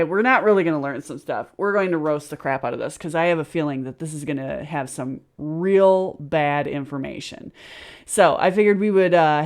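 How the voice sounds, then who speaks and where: Casting: woman streamer, slightly low voice, chatty and wry, reacting casually from a small room at home. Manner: informal, joking, sardonic. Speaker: host of a live reaction show. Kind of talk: casual livestream chat and reaction commentary. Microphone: close desk microphone on a boom arm, with headset.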